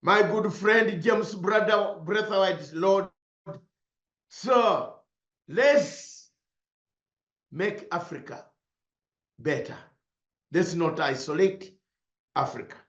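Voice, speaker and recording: A man's voice: high voice, lively intonation, very slow, long pauses, fairly bright tone. A man from Uganda, a broadcaster, giving an impassioned political speech with emphatic repetition. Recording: roomy, slightly dull sound.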